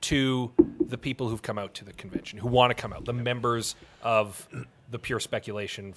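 A man's voice: medium pitch, fairly even intonation, normal speed, a bit bright. A man co-hosting a podcast, chatting in a casual conversational style. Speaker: podcast co-host.

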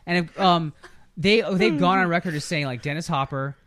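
A man with a slightly high voice hosts a casual movie podcast. He rambles through his opinions in a geeky, slangy way, talking like he is among friends. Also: moderate pace, a bit bright, dry recording. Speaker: podcast host.

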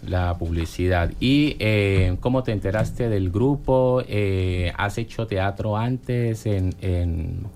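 Deep-voiced man hosting a chat. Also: takes it slowly, few pauses, darkish tone. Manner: rambling, friendly questions with many fillers. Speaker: radio host. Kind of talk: casual interview.

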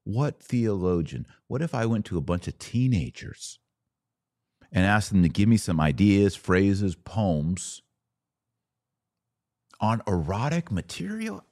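Male commentator, very deep voice, dry close sound, sarcastic, emphatic, opinionated podcast delivery. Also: sing-song, slow, pauses often, a little mellow, no echo. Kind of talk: opinionated live commentary, reading aloud and reacting.

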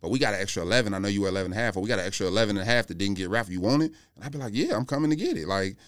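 A man's voice: fairly low pitch, animated, rapid-fire, smooth, no echo, studio quality. A man chatting casually on a podcast, telling rambling, slangy anecdotes as a panelist. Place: podcast studio.

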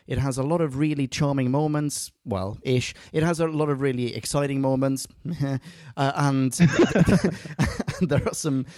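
A man co-hosting a review podcast in casual, animated chat, prone to giggling. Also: mid-range voice, measured pace, dry recording, studio quality. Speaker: podcast co-host reviewing audio dramas.

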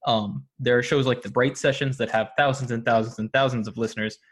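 A man's voice: medium pitch, steady tone, brisk. A man with an American accent speaking casually as an interview guest, explaining things in an articulate way. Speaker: podcast guest, audio drama producer.

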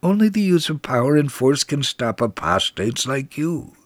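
A man voicing a stern, hostile rabbi who warns and accuses with slow emphasis in a dramatized radio testimony. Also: mid-range voice, big pitch swings, dry recording, pitched above the performer's own voice. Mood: stern, threatening.

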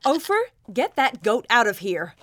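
Flustered, animated fussing mother. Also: fairly high pitch, soft background music. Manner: fussing, brisk commands. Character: flustered, bossy, affectionate middle-aged mother. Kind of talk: dramatized Bible story.

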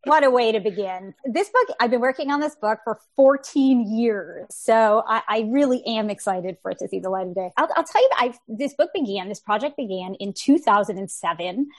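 A woman's voice: a little high, lively intonation, moderate pace, few pauses, no room sound. A woman in her forties from Canada, an author telling her story in an interview in a friendly, articulate way. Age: 40s. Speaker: author guest. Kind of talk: interview answers.

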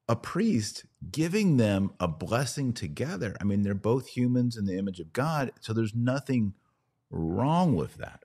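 A man's voice: low-pitched, dark tone. A man hosting a podcast, talking conversationally and arguing a point emphatically through hypothetical examples. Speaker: podcast host.

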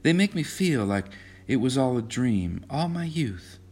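A naive young rich girl from a storybook, as voiced by a man, with the pitch lifted well up and swinging freely. The manner is innocent and dreamy, as if the world outside were all new. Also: sing-song, unhurried, a little mellow, slightly rough, exaggerated voice. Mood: wistful.

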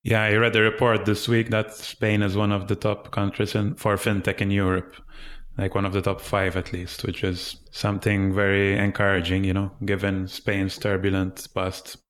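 Male podcast host, low voice, friendly short prompts. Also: completely flat delivery, moderate pace, fairly bright tone. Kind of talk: casual interview questions.

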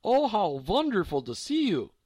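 A woman's voice: medium pitch, very animated, moderate pace, dark tone, husky, no echo, voice pushed up. A man voicing a frail, confused elderly woman with a German accent, doting and mishearing words. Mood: welcoming. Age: older.

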